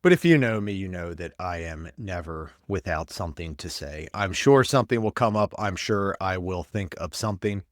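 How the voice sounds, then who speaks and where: A man's voice: deep voice, lively intonation, moderate pace, hardly any pauses, no room sound, clean studio sound. A man hosting a podcast, sounding congested and stuffed up, rambling and blunt in casual commentary. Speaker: podcast host, paramedic.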